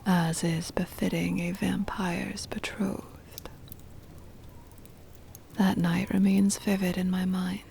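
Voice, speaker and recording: Woman as a whispering, creaky vampire mistress. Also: lowish voice, level tone, a little mellow, slight background noise. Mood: possessive longing. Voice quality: breathy whisper with creaky fry. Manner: slow, soothing, formal, intimate. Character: possessive, doting aristocratic vampire mistress comforting her beloved pet. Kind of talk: whispered ASMR roleplay.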